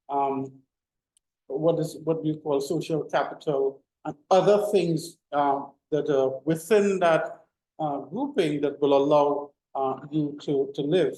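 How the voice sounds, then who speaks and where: A man's voice: on the high side, warm tone, reverberant, rough recording. A middle-aged man giving an informal classroom lecture, full of fillers and restarts. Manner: rambling, many fillers and restarts. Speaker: university lecturer.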